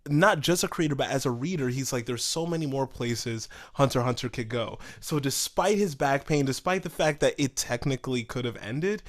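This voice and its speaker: Dude on a podcast explaining stuff, earnest, voice goes up and down a lot. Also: male voice, fairly high pitch. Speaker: podcast co-host. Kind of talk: casual podcast chat, explaining news.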